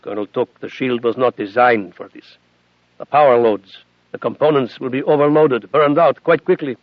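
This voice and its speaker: A man voicing a grave, solemn scientist who speaks in a measured way, in a dull, lo-fi recording. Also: mid-range voice, steady tone, dry recording, lightly acted.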